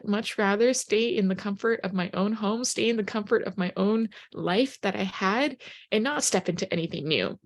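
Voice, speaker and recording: A young woman whose first language is Japanese pitches a business opportunity in English with upbeat, earnest energy. Her voice sits at an everyday middle pitch. She fills her sentences with "you know" as she speaks. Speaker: presenter on a business opportunity call. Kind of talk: motivational recruiting talk.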